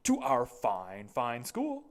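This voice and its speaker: A performer voicing a proud, overenthusiastic male school principal in a storybook, with grand, drawn-out exclamations. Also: mid-range voice, huge pitch swings.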